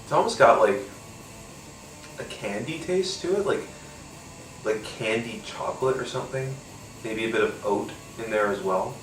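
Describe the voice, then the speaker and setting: A man's voice: lowish voice, animated, normal speed, long pauses, reverberant, far from the mic, over music, rough recording. Congested, nasal man chatting casually. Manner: rambling, swears casually, many fillers. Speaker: podcast host. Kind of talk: casual solo beer-tasting chat.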